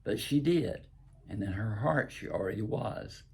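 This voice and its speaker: An older man from the American South with a Southern accent reads a story aloud. His voice is very husky and rough, with a gravelly creak and a lowish pitch. The delivery is folksy and deliberate, like a host sharing a fairy tale. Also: expressive, darkish tone. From southern United States. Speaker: host and author reading own stories. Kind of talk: storytelling, reading aloud from own book.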